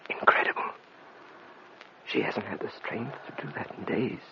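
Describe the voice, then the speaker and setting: An actor playing an anguished, devoted husband in a gothic radio drama, tender and emotional. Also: male voice, fairly low pitch, moderate pace, vocal fry. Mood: anguished awe. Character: anguished, devoted husband at his dying wife's bedside. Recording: dull radio recording with faint noise.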